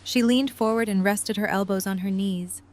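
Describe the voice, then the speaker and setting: A woman's voice: mid-range voice, steady tone, moderate pace, darkish tone. A woman reading scripted story narration for an audio drama.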